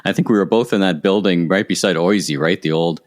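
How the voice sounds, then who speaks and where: A man's voice: sits low, brisk. A middle-aged man, hosting and interviewing on a podcast. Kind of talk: scripted podcast intro and conversational interviewing.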